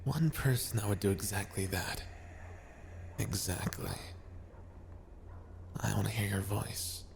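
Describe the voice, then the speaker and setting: A man's voice: lowish voice, slow, creaky, darkish tone, slight background noise. Man acting a possessive, brash young yandere king. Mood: possessive, boastful. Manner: intense, intimate, boastful. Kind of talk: ASMR roleplay acting.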